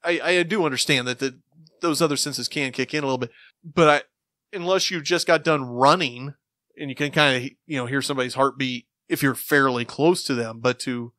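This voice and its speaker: A man's voice: mid-range voice, moderate pace, bright, forceful tone, no room sound. Casual male podcast host, dry sound. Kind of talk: casual panel discussion.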